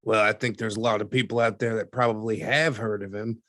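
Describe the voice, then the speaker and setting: A man playing a dry, skeptical newspaperman who explains things in a measured, wry interview style for an audio drama. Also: lowish voice, level tone, fairly bright tone, no room sound, lightly acted. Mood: matter-of-fact. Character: dry, skeptical newspaperman recounting archived cases.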